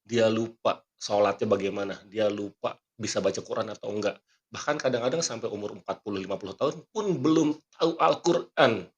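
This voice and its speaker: Throat-clearing man giving a motivational talk. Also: fairly low pitch, fairly quick. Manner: preachy, earnest, quotes scripture and sayings. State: coughing, not fully well. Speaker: magician and motivational speaker. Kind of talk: motivational podcast talk with a magic trick demo.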